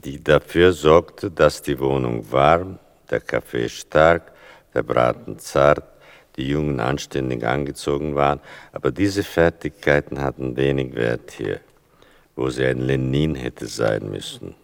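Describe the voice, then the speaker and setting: An actor playing a son who narrates a radio play about his mother in a measured, dryly ironic, gravelly voice. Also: rumbling low, no room sound, exaggerated voice. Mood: wry, fond.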